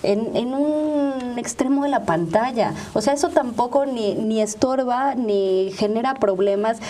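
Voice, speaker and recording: Mujer mexicana didáctica, de voz suave. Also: female voice, a little high, unhurried, mellow. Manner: didactic, argues with examples. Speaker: panel guest, media ethics expert. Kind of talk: explanatory panel discussion.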